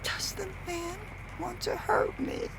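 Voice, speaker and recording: Woman doing scared confused old granny in an audio play, high voice, kinda creaky. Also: steady tone, slow, fairly bright tone, a little hiss or hum, full character voice, poor audio. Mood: troubled, wary. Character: frightened, confused elderly grandmother, bedridden patient. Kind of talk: audio drama acting.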